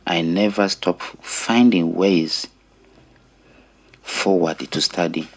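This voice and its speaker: A middle-aged man with a Rwandan accent giving an earnest personal testimony in deliberate, short phrases with pauses. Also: deep voice, vocal fry. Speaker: storyteller sharing own life story.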